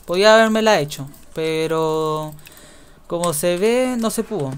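A young man with a Chilean accent, giving casual gameplay commentary in a quiet mutter that repeats words in runs. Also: high voice, measured pace, clean tone. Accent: Chilean Spanish. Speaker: gaming YouTuber.

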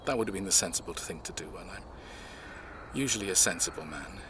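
A man acting in an audio drama, playing a reserved, measured man who recounts his family's past in a reflective way. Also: deep voice, noisy background, close to an everyday voice.

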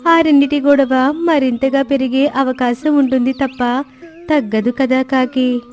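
A woman's voice: sits high, fairly even intonation, measured pace. A woman voicing a nosy, scheming parrot in a children's cartoon story, coaxing and questioning with a show of sympathy. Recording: music bed under the voice.

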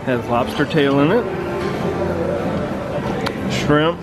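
Loud music fills a restaurant behind a middle-aged man with a slightly high voice. He narrates a casual family vlog at a very leisurely pace, listing things in short phrases. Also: extremely slow. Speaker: family vlogger, father. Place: indoor buffet restaurant.

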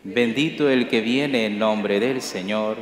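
A middle-aged priest celebrating Mass at the altar, reciting liturgical prayers in a measured, solemn way. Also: male voice, on the low side. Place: large church sanctuary, at the altar. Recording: echoey church with long reverb.

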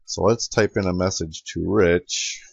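A man giving an unscripted screen-recording tutorial as an amateur radio operator, explaining step by step in a halting way. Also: low-pitched, fairly even intonation, vocal fry, no echo. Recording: dull, lo-fi home computer recording.

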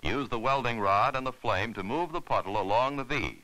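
A man's voice: medium pitch, normal speed, bright, forceful tone, rough recording. A man narrating an instructional film in a formal, scripted, step-by-step way.

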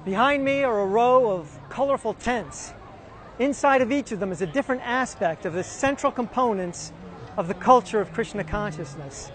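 A man presenting and narrating a documentary in a steady, explanatory way, partly from an outdoor street festival. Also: high-pitched, normal speed.

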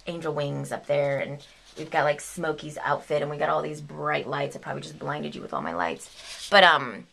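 A woman's voice: deep voice, normal speed, gravelly, fairly bright tone. A young woman in her twenties vlogging in a casual, rambling, giggly way, sleepy and a bit delirious, sometimes dropping to a whisper, filmed up close on a handheld camera in a small home studio. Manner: bubbly, rambling, giggly, drops to a whisper at times. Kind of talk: casual vlog chat. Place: home video studio with a big red chair and green screen. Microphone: handheld camera held close to the face.

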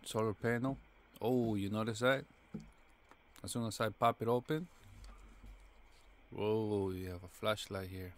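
Low-voiced man in halting casual unboxing. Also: steady tone. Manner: halting, short fragmented phrases. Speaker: product reviewer. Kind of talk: casual unboxing commentary, thinking aloud.

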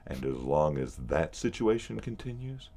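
An actor playing a stern, exasperated middle-aged radio program director in an audio drama, clipped and authoritative. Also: male voice, a bass voice, sing-song, moderate pace, mellow, full character voice.

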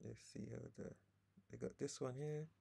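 Laid-back guy reacting to clips at home, bit of fry in his voice. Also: mid-range voice, steady tone, moderate pace, dark tone. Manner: laid-back, laughing along. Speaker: reaction video host. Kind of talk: casual reaction commentary.

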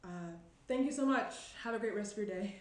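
A woman's voice: medium pitch, fairly quick, natural voice. A young woman playing a flustered, distracted character, hesitant and apologetic, in a table read. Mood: flustered, polite. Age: young adult. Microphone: desk microphone. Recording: roomy indoor echo.

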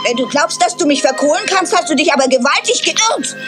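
Puschel, a high, lively squirrel boy. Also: brisk, music in the background. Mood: defensive. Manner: childlike, pouty and indignant. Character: young orphaned squirrel boy, hungry and proud. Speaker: voice actor in a cartoon dub. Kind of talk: 1980s cartoon dubbing.

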